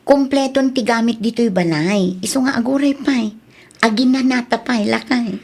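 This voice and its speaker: A woman's voice: a little high, normal speed, a little mellow. A woman with an Ilocano accent acting a practical, affectionate young wife and mother in an audio drama. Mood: content, playful.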